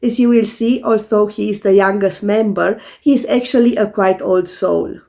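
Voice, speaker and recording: A hoarse woman, an astrologer, calmly explaining in scripted video narration while recovering from a sore throat. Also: mid-range voice, normal speed. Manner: calm, methodical explaining to viewers.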